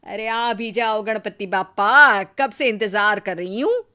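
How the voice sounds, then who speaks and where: A woman's voice: medium pitch, measured pace, bright, forceful tone. A woman voicing a greedy, envious rich neighbour in a moral story, exclamatory, nosy and scornful. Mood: eager, greedy. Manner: exclamatory, nosy, mock-admiring. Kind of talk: Hindi moral storybook acting.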